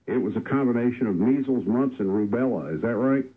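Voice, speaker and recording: Male radio host, 60s, husky and friendly, tinny broadcast audio. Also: medium pitch, fairly quick. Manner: friendly, conversational. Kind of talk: hosting a call-in radio show. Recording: lo-fi radio broadcast with faint music.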